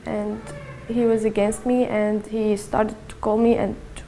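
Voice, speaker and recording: Soft, gravelly young woman. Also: medium pitch, level tone, slight background noise. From Syria. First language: Arabic. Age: early 20s. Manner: halting, soft-spoken, many restarts and fillers. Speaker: activist telling her story. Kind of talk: personal testimony to camera.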